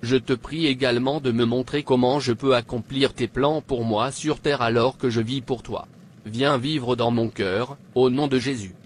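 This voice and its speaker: A man's voice: mid-range voice, fairly even intonation, normal speed, natural voice. Man voicing an earnest king, faint background noise. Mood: grateful, prayerful. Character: pagan king, a meek and fervent new convert. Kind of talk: scripted cartoon dialogue.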